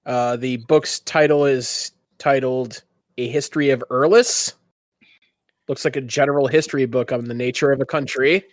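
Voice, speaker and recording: Male game master, medium pitch, casual tabletop narration, dry recording. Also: steady tone, moderate pace, no echo.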